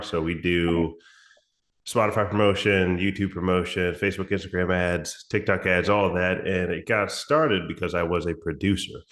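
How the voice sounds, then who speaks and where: A man in his thirties, raspy from a cold, explaining his music promotion business in a relaxed, casual interview with frequent restarts. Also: deep voice. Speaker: music promotion agency founder.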